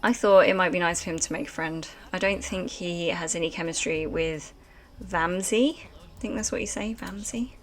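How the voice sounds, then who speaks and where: A woman's voice: fairly low pitch, moderate pace, few pauses. A woman streaming a game live, chatting casually and rambling with lots of fillers at a desk microphone. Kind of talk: casual let's-play commentary chatting with chat.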